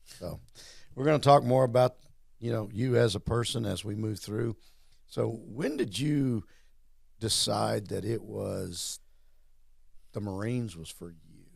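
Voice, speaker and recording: Low gravelly man hosting. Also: unhurried, no echo, clean studio sound. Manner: rambling, repeats words, friendly. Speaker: host. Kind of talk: casual interview.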